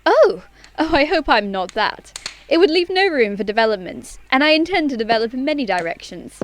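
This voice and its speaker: High, coquettish young society lady, played by a woman. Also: very animated, brisk, slight background noise. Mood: coy, pleased.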